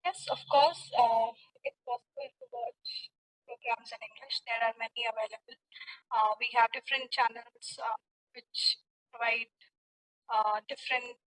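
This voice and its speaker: A woman with an Indian accent giving unscripted practice exam answers in a hesitant, halting way. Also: fairly high pitch, fairly bright tone, poor audio. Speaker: English learner, test candidate.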